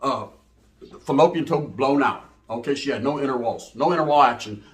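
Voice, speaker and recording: A man's voice: mid-range voice, brisk, reverberant. A man telling a raunchy comic story casually, full of slang and swearing and acting out the dialogue. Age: adult, parent. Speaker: comedic storyteller.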